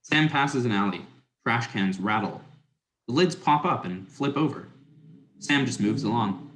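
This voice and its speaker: A man's voice: mid-range voice, animated, unhurried, a bit bright. A man narrating stage directions in a scripted dramatic reading. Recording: roomy, echoing sound.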